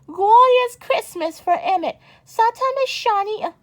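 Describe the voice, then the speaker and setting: A woman reading a children's story aloud as an amateur, haltingly and stumbling over words. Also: very high voice, lively intonation, a bit bright. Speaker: storybook reader.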